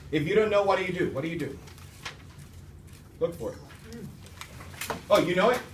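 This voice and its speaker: A man's voice: high-pitched, unhurried, long pauses, far from the mic, a little hiss or hum. Playful male teacher in echoey classroom. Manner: playful, energetic, questioning.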